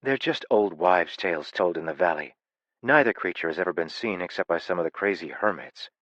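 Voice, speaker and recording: A man's voice: low voice, animated, a little fast, fairly bright tone, dry recording, muffled recording. A man voicing a cautious, sensible young woman in an audiobook, speaking in a cautious, patient way.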